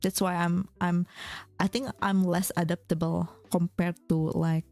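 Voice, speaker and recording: A young woman streamer chatting casually with her viewers, often restarting her sentences and filling pauses with "like". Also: fairly low pitch, level tone, a little mellow. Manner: chatty, many fillers and restarts, reads chat aloud. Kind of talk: casual chat with chat readers. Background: faint background music.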